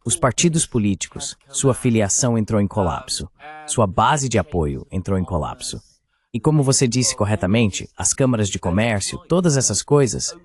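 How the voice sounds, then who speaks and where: A man speaking in a measured, explanatory way, as in a dubbed political discussion. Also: lowish voice, darkish tone. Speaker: AI voice reading a translated political commentator.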